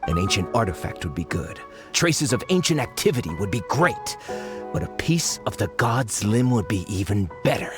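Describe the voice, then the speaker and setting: An actor playing a scheming foreign archaeologist who speaks politely and persuasively, in a game cutscene. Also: male voice, lowish voice, very animated, normal speed. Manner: polite, persuasive, slyly eager. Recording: game audio with music.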